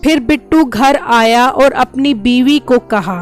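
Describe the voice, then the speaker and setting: A woman's voice: high-pitched, slow. A woman narrating a scripted moral story for a cartoon. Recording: background music.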